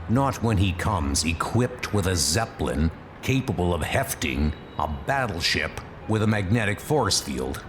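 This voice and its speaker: A man playing a brooding, wry superhero detective in a comic dub, speaking in a deliberate, dramatic way. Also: low voice, noisy background. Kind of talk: comic dub narration and monologue.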